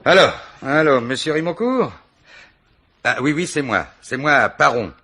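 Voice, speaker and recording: An actor playing a wily, self-assured police commissioner in a radio mystery play, talking in a colloquial, teasing way. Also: male voice, on the high side, animated, unhurried, pushed and bright, exaggerated voice. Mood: smooth, bluffing. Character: wily, jovial, bluffing male police commissioner. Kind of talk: French radio mystery drama acting.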